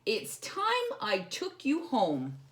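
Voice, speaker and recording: Woman as an eager little girl, high and affectionate. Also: huge pitch swings, takes it slowly, roomy sound, exaggerated voice. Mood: fondly scolding. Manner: earnest, fond. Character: eager, affectionate little girl who owns a toy bear.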